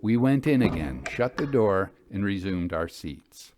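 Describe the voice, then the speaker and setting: A man narrating a classic literary ghost story in an expressive, measured, theatrical manner. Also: sits low, slight background noise.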